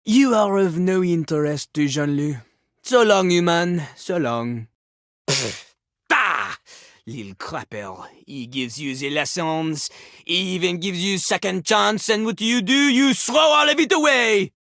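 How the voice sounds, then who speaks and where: A swooping, pompous French rapper toilet. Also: male voice, high-pitched, expressive, measured pace, a bit bright, no echo, clean studio sound, full character voice. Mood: dismissive, then offended, scornful. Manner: haughty, third-person boasting, theatrical flourishes. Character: pompous, sassy French-accented talking toilet rapper, male, theatrical. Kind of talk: video game character voice acting, partly rapped.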